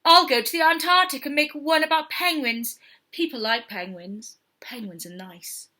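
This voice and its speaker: A man's voice: very high voice, normal speed, pushed and bright, exaggerated voice. A woman voicing a furious male TV wildlife presenter in a storybook, scolding in a blustering, emphatic way. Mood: scolding, huffy.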